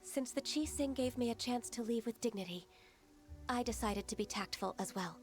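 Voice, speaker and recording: A woman playing a gentle, melancholy, ancient but youthful-sounding immortal in a video game, speaking softly and formally. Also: fairly high pitch, normal speed, close to an everyday voice. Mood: wistful, resigned. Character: gentle, melancholy, millennium-old female adept, youthful and formal. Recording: game audio with music behind. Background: music.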